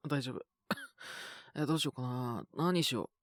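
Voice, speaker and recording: A man with a sore, scratchy throat and a cough, chatting casually and intimately in soft, hesitant murmurs as if to someone beside him. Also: mid-range voice, expressive, unhurried, no echo, clean studio sound. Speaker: voice actor making situation-voice videos. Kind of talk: intimate casual chat to an unheard partner.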